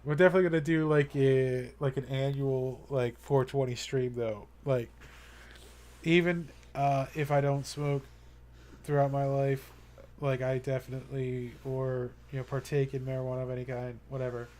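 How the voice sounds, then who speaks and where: A man's voice: mid-range voice, steady tone, normal speed, a little mellow. Rambling, earnest young male streamer. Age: young adult. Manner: rambling and earnest, many fillers and restarts. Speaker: live streamer. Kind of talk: casual live-stream chat to viewers.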